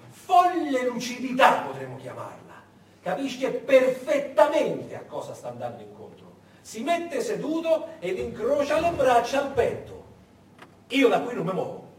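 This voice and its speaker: Animated high-voiced male theatrical storyteller. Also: measured pace. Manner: dramatic, rhetorical questions, emphatic repetition. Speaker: stage actor and storyteller. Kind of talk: theatrical narrative monologue. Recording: echoey distant theatre recording, faint noise.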